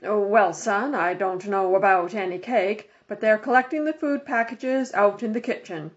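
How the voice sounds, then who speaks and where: A woman voicing a kindly, formal male Sunday school superintendent in an audiobook, speaking deliberately, as if announcing. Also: medium pitch, dull audio, natural voice. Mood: mildly puzzled.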